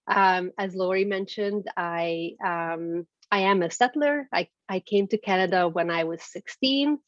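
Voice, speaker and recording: A woman's voice: mid-range voice, a little slow, no room sound. A woman from Transylvania whose first language is Hungarian, giving an earnest prepared speech as a guest speaker, with many fillers and restarts. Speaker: executive director, guest speaker. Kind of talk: prepared speech over a video call. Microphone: video call. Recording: dull lo-fi video call audio.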